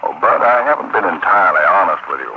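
An authoritative intelligence chief in a 1940s radio play. His low voice is calm and methodical, on a dull recording with faint noise. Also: fast. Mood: explanatory. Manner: methodical, commanding, explanatory. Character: calm, authoritative male American counterspy chief. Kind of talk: 1940s radio drama acting.

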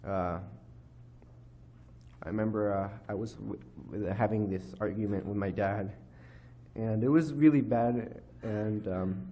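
Soft-toned teenage boy giving a halting testimony. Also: fairly low pitch, a little hiss or hum. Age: teens. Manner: halting, many fillers and restarts. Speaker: youth giving a church testimony. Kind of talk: personal testimony speech. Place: church hall, indoors. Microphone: microphone on a lectern stand.

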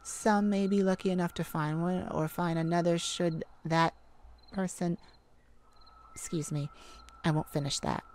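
An affectionate female mage, acted by a woman, speaks in a romantic ASMR audio drama. Her voice sits slightly low for a woman and moves slowly. She rambles and gushes, flustered and full of excitement for her sweetheart. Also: close to an everyday voice. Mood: moved, affectionate. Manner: gushing, giggly, rambling, flustered.

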